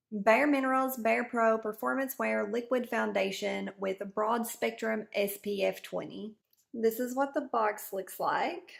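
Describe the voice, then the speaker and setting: Hoarse woman doing a chatty beauty review. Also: a little high. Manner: chatty, explains each step as she goes. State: hoarse from a cold. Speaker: beauty vlogger. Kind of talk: casual product review to camera.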